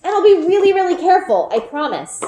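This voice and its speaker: A woman's voice: very high voice, sing-song, fast talker, mellow, lo-fi sound, slight background noise, voice pushed up. A woman voicing an eager, stubborn young girl in a children's storybook, speaking earnestly and insistently. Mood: pleading. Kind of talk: children's storybook read-aloud. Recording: roomy indoor echo.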